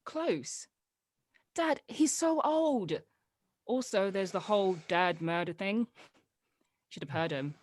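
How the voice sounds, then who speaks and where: A woman's voice: medium pitch, expressive, slow, pauses often, a little mellow, no room sound. A woman playing a guarded, sardonic young adult daughter in a drama table read, dry and blunt. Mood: exasperated.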